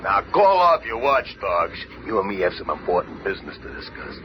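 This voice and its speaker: An actor playing a gruff, swaggering, slangy army colonel turned spy in a 1970s radio drama. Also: male voice, on the low side, big pitch swings, a little fast, a bit bright. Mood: brusque. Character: Nick Fury, tough wisecracking army colonel turned spy. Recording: noisy old radio show recording.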